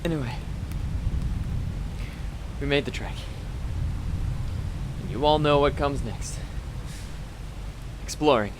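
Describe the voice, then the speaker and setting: A man acting as a curious, jumpy podcaster in a radio drama, narrating an exploration in a halting, think-aloud way. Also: lightly acted. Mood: intrigued, narrating. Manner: halting, thinking aloud, sometimes dropping to a whisper. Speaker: podcast host character. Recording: noisy with low rumble.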